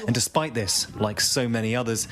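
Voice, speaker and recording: A man's voice: medium pitch, normal speed, a crowd in the background. A man narrating a scripted television news documentary as a reporter. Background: background music.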